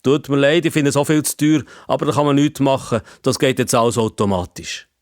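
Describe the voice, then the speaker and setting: A man voicing a curious, easily amazed old-fashioned gentleman from the past in a children's story, with a formal, wondering way of talking. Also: medium pitch, a little fast, no echo, clean studio sound, voice pushed up, close to an everyday voice. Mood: officious.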